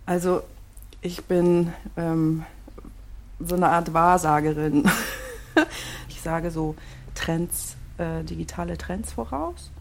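Low-voiced, weary wife. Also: female voice, slow pace, vocal fry, slight background noise. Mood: sheepish, subdued. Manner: casual, hesitant. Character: weary, exasperated, evasive wife in couples therapy. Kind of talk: naturalistic German audio drama acting.

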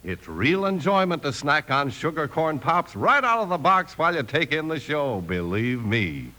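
A man's voice: on the high side, sing-song, moderate pace, bright, forceful tone. A man announcing and narrating an old-time radio show in a hearty, emphatic scripted style. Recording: old radio recording with music underneath. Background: music.

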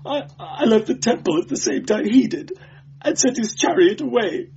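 A man's voice: medium pitch, very animated, takes it slowly, husky, muffled recording, exaggerated voice. A man voicing a terrified, cringing clerk who stammers and whimpers shrilly in an audiobook story. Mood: whimpering. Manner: hysterical, sobbing.

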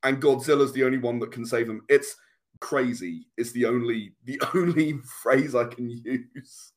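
A man with a mid-pitched voice talks as a guest in a casual interview. He is a game designer who explains things at length and with enthusiasm. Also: steady tone, normal speed.

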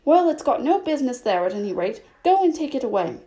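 A woman voicing a fussy, bossy male rabbit who shouts orders in a shrill, high voice. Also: sing-song, moderate pace. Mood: angry. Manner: shrill, commanding.

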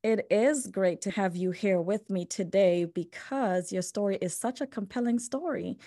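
A woman's voice: mid-range voice, moderate pace, warm tone, no room sound, clean studio sound. Upbeat woman hosting a podcast. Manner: upbeat, encouraging interviewer. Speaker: podcast host.

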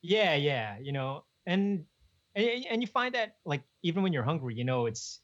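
A young man, co-hosting a podcast in relaxed, chuckling casual chat. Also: fairly high pitch, moderate pace. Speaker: podcast co-host. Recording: dull lo-fi podcast audio.

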